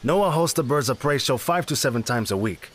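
A wry narrator for a comedy audio drama. His pitch sits in the middle, and he delivers scripted lines in a dry, matter-of-fact way. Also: expressive, a little fast, husky.

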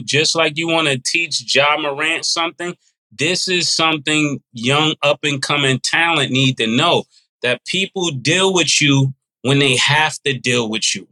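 A man's voice: fairly high pitch, pushed and bright, low-quality recording. A man co-hosting a podcast, casual and probing, asking pointed questions. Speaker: podcast co-host.

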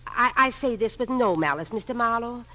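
A woman's voice: a little high, animated, moderate pace, bright, forceful tone. A woman playing a genteel, fussy, proud elderly widow in 1950s radio drama, hesitant and overly precise. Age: older.

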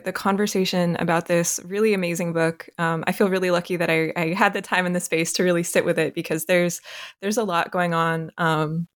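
Hesitant woman hosting a podcast. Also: mid-range voice. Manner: hesitant, frequent fillers. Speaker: podcast host. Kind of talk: interview hosting.

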